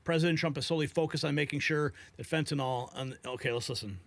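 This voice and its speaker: Bright, forceful male commentary host. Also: fairly high pitch, fast talker, creaky, dry recording. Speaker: political news commentary host. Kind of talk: opinionated live commentary.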